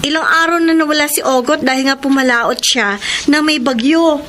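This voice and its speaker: An actress playing an excitable, worried village neighbour in a Filipino radio drama. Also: female voice, sits high, moderate pace, a little hiss or hum. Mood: anxious, urgent. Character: excitable, worried village woman bringing news.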